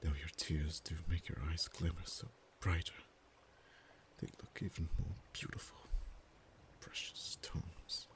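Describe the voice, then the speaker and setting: A man playing a deep, hushed dragon. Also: little pitch movement, unhurried. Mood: tender, protective. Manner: soft, hushed, often whispered. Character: protective male dragon in human form, tender yet teasing. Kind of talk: ASMR roleplay.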